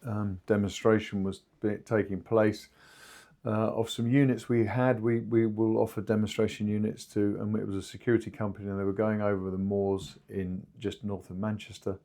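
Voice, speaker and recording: A man explaining things haltingly in an informal podcast discussion, as a company spokesman. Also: fairly low pitch, flat delivery, mellow. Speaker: two-way radio company spokesman.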